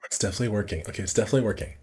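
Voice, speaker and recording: A man's voice: fairly low pitch, rapid-fire, darkish tone, husky, soft background music. A man casually streaming a visual novel, reading the narration aloud and correcting himself with muttered asides.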